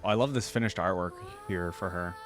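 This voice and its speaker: A man's voice: sits low, brisk. A man giving casual reaction commentary as a host, chatting informally and speaking straight to his viewers. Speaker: host of a game reaction channel. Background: music from the video being watched.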